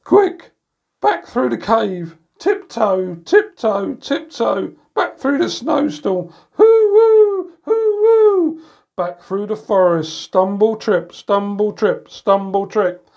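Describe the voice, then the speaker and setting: Middle-aged male storyteller, grandad role, unhurried pace, expressive picture-book reading for small children. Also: sits high, vocal fry. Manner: expressive, with playful sound words and drawn-out phrases. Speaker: grandad reading bedtime stories. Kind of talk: picture-book read-aloud for young children. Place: indoors in a room at home, by a door.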